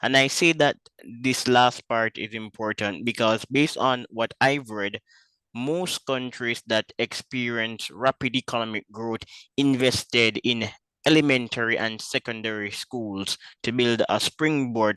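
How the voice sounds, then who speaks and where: A male interviewer asking direct questions and reading passages aloud. His voice is smooth and resonant, recorded close and dry with no room sound. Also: mid-range voice, measured pace, a bit bright, no echo, rough recording. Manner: reads quotes, asks direct questions. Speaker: interviewer host.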